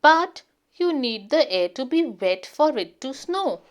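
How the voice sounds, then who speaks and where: A woman's voice: high voice, big pitch swings, a little slow. A woman voicing a stubborn, sceptical little boy detective in a children's storybook, emphatic and argumentative. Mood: triumphant. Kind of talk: children's storybook character reading.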